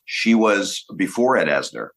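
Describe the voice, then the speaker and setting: A middle-aged man from Los Angeles, a guest on a podcast, telling stories in a relaxed, casual way. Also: deep voice, a little slow, vocal fry. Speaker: guest actor. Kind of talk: casual interview chat.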